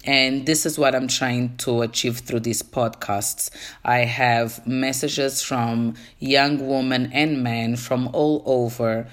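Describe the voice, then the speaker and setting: A woman's voice: rumbling low, fairly even intonation, takes it slowly. A woman with a Portuguese accent, a native Portuguese speaker, hosting her own podcast and speaking earnestly straight to her listeners in a casual, faith-centered monologue. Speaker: podcast host.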